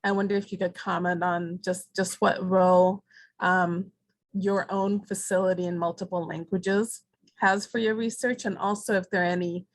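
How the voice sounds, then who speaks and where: A woman's voice: fairly low pitch, level tone, moderate pace, no room sound. A woman faculty member poses a question to the panel over a video call. She hesitates and apologizes, circling back as she searches for words. Her voice sounds close and dry. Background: fan noise.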